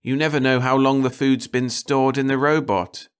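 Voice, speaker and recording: A man's voice: mid-range voice, steady tone, normal speed, smooth, pitched above the performer's own voice, close to an everyday voice. A man voicing a tired, fussy, wistful woman traveller in an audiobook story, with a weary, complaining and vague manner. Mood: tired, critical. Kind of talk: audiobook character dialogue.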